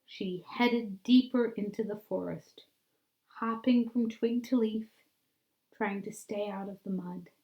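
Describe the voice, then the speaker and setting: Slow-paced woman reading a children's storybook. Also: mid-range voice, fairly even intonation, muffled recording. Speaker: teacher reading aloud to children. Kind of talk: expressive children's storybook read-aloud.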